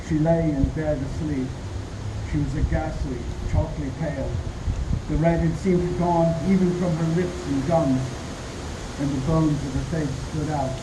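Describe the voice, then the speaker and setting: A man voicing an earnest young doctor narrating a gothic tale in even, measured tones. The sound is roomy and echoey, with clear wind noise running underneath. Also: a little high, natural voice. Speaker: community theatre actor. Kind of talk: radio play narration and acting.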